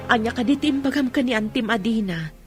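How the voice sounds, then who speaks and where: A woman playing a patient, apologetic village mother, placating and gently admonishing, in a radio drama. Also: fairly high pitch, expressive, brisk, over loud music, lightly acted. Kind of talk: comedy radio drama acting.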